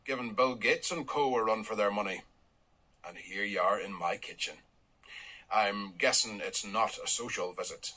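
An actor playing a blunt, wry middle-aged dairy farmer with a Northern Irish accent, in a radio drama. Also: male voice, lowish voice, moderate pace, sharp tone, husky, rough recording. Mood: wry, stubborn.

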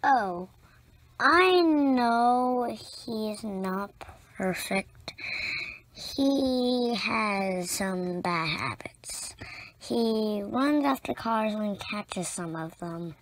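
A woman reading a children's picture book aloud as a storyteller, in deliberate, spaced-out phrases with short asides to young listeners. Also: mid-range voice, lively intonation, slight background noise, poor audio.

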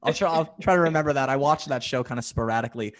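Host: young adult man, mid-pitched, friendly and halting. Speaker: podcast host. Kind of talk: casual interview chat.

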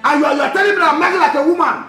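A man's voice: extremely high pitch, very fast, pushed and bright, exaggerated voice. A man playing a husband who grumbles about his uncovered food, stammering and repeating himself when upset. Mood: indignant. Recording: roomy echo, faint music.

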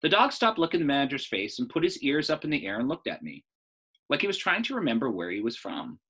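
A man in his thirties reading a children's book aloud as a storyteller, in an animated style. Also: medium pitch, quick pace, husky.

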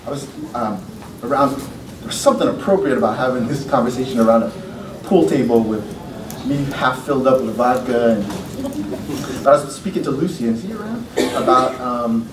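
A middle-aged man, a poet, reading his poems at a lectern in a lecture room, with loose, joking, profane asides between them. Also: mid-range voice. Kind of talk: poetry reading with casual asides. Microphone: podium mic from a far camera. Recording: roomy, distant, noisy room sound.

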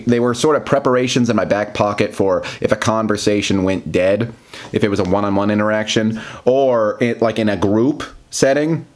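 An American man in his thirties talking casually to the camera in a rambling, self-deprecating, confessional monologue. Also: fairly low pitch. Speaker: vlogger. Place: small plain room indoors.

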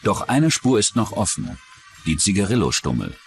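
Low-pitched male true-crime narrator. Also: very animated, moderate pace, faint music behind. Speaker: documentary narrator.

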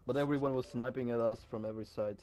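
A man's voice: medium pitch, steady tone, fast, mellow. Agreeable man on game voice chat. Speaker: teammate on voice chat. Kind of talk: casual gaming chat.

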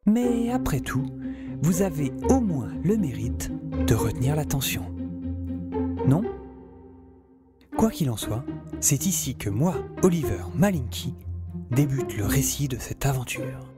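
A man's voice: on the high side, sing-song, measured pace, pauses often, loud music behind the voice. An actor playing a sarcastic, geeky young male scientist in an audio drama, wryly telling his adventure and rambling. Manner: wry, rambling, talks to the listener. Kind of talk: audio drama narration and dialogue.

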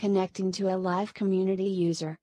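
Game role: actress as neutral female phone machine, even, dark-toned announcements. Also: medium pitch, brisk, faint music behind, close to an everyday voice. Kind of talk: flat automated announcement.

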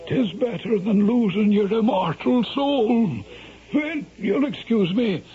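A folksy, evasive Irish innkeeper. His voice is strikingly high for a man. The sound is that of a dull vintage radio drama. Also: slow. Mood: cagey. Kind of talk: 1970s radio drama acting. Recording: muffled vintage radio broadcast.

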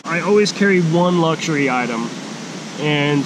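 A middle-aged man giving a casual, plain-spoken outdoor gear walkthrough in a forest by a stream, speaking slowly with halting pauses. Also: a little high. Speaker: hiking vlogger. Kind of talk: casual gear show-and-tell. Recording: steady outdoor background hiss.

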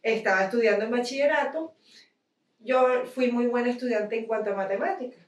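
A woman's voice: a little high, fairly quick. Hesitant Venezuelan woman, distant and echoey. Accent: Venezuelan Spanish. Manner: hesitant, many restarts. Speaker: audience member. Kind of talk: question from the audience.

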